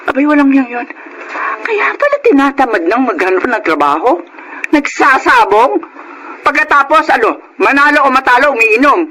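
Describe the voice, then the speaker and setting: A woman's voice: high-pitched, very animated, normal speed, sharp tone, heavily acted, rough recording. A woman playing a protective, scolding older Filipino mother and grandmother in a radio drama, emphatic and indignant.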